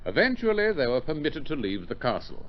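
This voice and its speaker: A man's voice: fairly high pitch, sing-song, fairly quick, a little hiss or hum. A man narrating an old radio drama in a scripted, formal, dramatic announcer's manner. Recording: muffled old radio recording over music.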